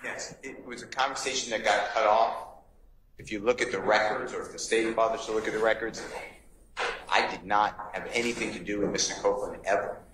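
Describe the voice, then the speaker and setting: Low-voiced male defense attorney in echoey courtroom. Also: fairly even intonation, a little fast. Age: middle-aged. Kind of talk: courtroom argument. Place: large wood-paneled courtroom. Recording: roomy courtroom echo, background noise.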